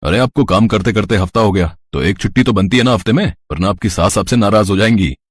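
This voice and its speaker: A man's voice: fairly low pitch, fast talker, slightly rough, dry recording, pitched below the performer's own voice. A man voicing a friendly young bachelor employer in a moral story, casual, polite and respectful. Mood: kind, curious. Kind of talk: dramatised Hindi moral story.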